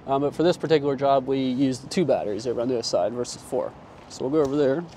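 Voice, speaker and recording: A man's voice: mid-range voice, fast, dark tone. A man in his forties with an American accent, giving an informal, plain-spoken product demonstration to camera as an installer. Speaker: presenter and installer. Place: outdoors on a gravel truck lot. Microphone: clip-on lapel mic. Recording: outdoor ambient noise.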